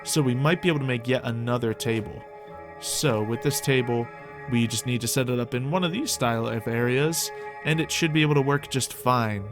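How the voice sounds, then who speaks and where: A man with a middle-pitched voice hosts a gaming video over background music. He talks casually and off the cuff, thinking aloud and chatting to characters in the game as he roleplays. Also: a little fast. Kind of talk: casual improvised Minecraft roleplay commentary.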